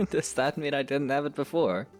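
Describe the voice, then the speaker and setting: Calm young male knight, acted by a man, understated, easygoing, gently teasing, audio drama, music behind. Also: fairly high pitch, measured pace, close to an everyday voice. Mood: teasing, matter-of-fact.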